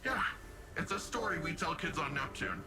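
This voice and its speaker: Over clearly noisy game ambience comes a man as a brash young sci-fi hero. His voice sits fairly high and swings lively up and down. He is playful, slangy and chatty, always ready to joke. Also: moderate pace, close to an everyday voice. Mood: musing. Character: brash, upbeat, joking young futuristic guardian hero. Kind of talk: video game voice acting.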